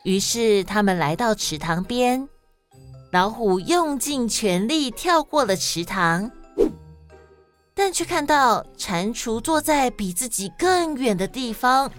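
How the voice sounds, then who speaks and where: A woman's voice: fairly high pitch, lively intonation, slow pace, faint music behind. A woman with a Taiwanese accent hosting and narrating stories expressively for children. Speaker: host and storyteller of a children's podcast.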